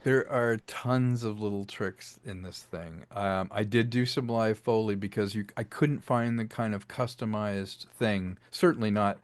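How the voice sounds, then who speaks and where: A man's voice: lowish voice, steady tone, measured pace, hardly any pauses, no room sound. Man explaining his craft, close dry sound. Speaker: interview guest, filmmaker and audio drama creator. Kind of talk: casual, explanatory interview talk.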